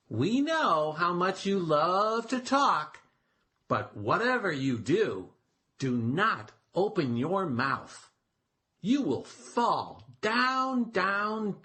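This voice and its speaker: A storyteller as a slow, caring, firm bird. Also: mid-range voice, a bit bright, heavily acted. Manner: careful, instructive. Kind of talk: children's storybook character voice.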